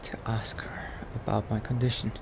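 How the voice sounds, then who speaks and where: A man's voice: lowish voice, level tone, normal speed, creaky, whispered. A man roleplaying in character through a video game, thinking aloud in a hesitant murmur. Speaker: player roleplaying a vampire Dark Elf character.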